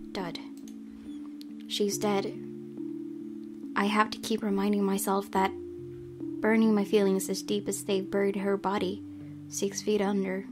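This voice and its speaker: A woman reading a visual novel's narration aloud as a let's play host. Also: medium pitch. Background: guitar music from the game.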